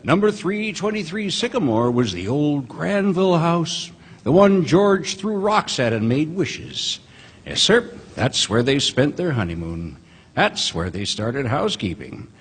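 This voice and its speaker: An actor playing a folksy heavenly narrator, telling the story in an animated old-time radio drama manner on a theater stage. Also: male voice, a little high, measured pace, breathy edge, full character voice. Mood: chatty, amused. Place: live stage in a large theater. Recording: echoey theater hall.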